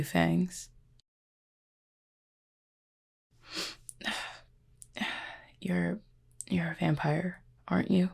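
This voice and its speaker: Woman voicing a gravelly, husky, wounded fallen cupid. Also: lowish voice, darkish tone, exaggerated voice. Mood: wry, guarded. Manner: formal, wry wording with weary sighs and hesitant pauses. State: in pain, strained. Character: injured fallen cupid, proud, formal, guarded adult. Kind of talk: intimate audio roleplay addressed to listener.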